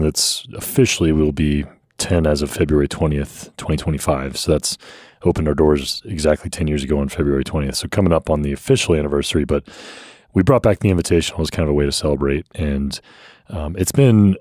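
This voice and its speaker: A man speaking as a brewery owner in a casual podcast chat, easygoing and reflective, quick to laugh. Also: a bass voice, darkish tone, no room sound, clean studio sound.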